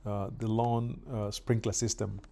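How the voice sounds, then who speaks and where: A middle-aged man from Kenya chatting casually while doing yard work outdoors, with relaxed pauses and fillers. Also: lowish voice, vocal fry. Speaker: vlogger.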